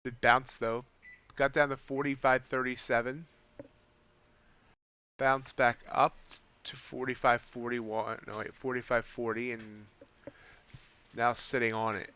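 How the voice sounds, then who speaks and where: A man calls market moves on a live trading stream and thinks aloud as he corrects his own numbers. His voice is bright and smooth, with a slight rasp from hours of talking. The recording sounds a little dull and lo-fi. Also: mid-range voice, level tone, measured pace, pauses often. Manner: thinking aloud, correcting himself mid-sentence. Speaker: streamer, day trader.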